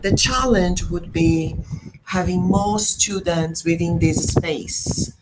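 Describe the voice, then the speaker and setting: A woman's voice: fairly low pitch, heavy background noise. A woman from Brazil, a Portuguese speaker, chatting patiently as a language teacher on a video call. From Brazil, Rio de Janeiro. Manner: slow, patient, laughs often. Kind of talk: casual discussion, teaching words.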